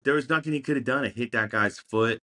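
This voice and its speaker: A man's voice: medium pitch, fast talker, sharp tone. A man co-hosting a casual sports podcast, delivering punchy catchphrases like a hype man. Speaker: podcast co-host.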